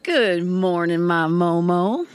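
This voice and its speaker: A woman's voice: lowish voice, expressive, takes it slowly, a little hiss or hum. An actress playing a wry, playful, folksy small-town country woman.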